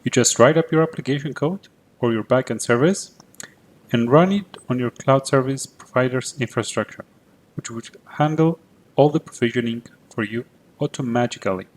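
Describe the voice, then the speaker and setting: A man's voice: mid-range voice, moderate pace, poor audio. Greek Cypriot man giving a tech talk. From Cyprus. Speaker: conference presenter. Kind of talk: prepared conference talk.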